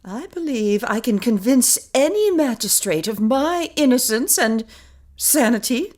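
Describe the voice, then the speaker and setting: A woman's voice: on the high side, animated, a little slow, darkish tone. A woman playing a wronged, slightly mad mother in a horror melodrama, speaking with theatrical, menacing deliberation. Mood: menacing, unhinged. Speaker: actress in an audio drama. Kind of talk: melodramatic horror radio play acting.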